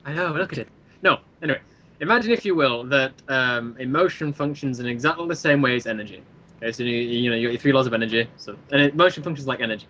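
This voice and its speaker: A man speaking as a guest creator in a casual, jokey interview, animated and rambling as he explains, with mock-showman asides. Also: medium pitch, quick pace, dull audio. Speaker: interview guest, creator of a fan web series.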